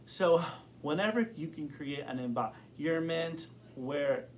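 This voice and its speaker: Slow-talking young man who stutters. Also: a little high, slight background noise. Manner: stutters, with many fillers and repeated words; thoughtful, open. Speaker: interviewee. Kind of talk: casual interview.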